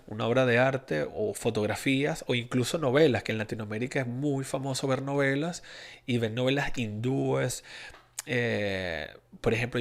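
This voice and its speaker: Gravelly Venezuelan man hosting a podcast. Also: mid-range voice. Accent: Venezuelan Spanish. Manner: rambling, many restarts and fillers. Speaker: podcast host. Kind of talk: casual solo podcast monologue.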